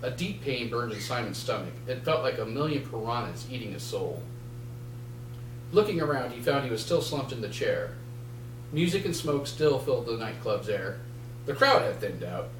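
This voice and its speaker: The recording is roomy, with a faint hum of noise. A man with a middle-pitched voice reads dark fiction aloud like an author at a live event. He delivers it in deliberate, short phrases. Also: very animated, distant mic. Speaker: author reading from own novel. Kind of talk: live dramatic prose reading. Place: indoor bookshop reading event.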